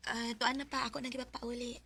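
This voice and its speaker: A woman playing a gentle, shy young woman in a Bisaya radio drama, speaking softly and hesitantly with a Bisaya accent. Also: on the high side, flat delivery, fast, husky, heavily acted. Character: Murmur, gentle shy young woman, later a childless wife.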